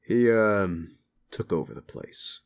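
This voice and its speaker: Stern, slow-spoken underworld club keeper, played by a man. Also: fairly low pitch, lively intonation, gravelly, clear, resonant tone, full character voice. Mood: stern warning. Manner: measured, scolding, authoritative. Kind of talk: dramatic audio roleplay acting.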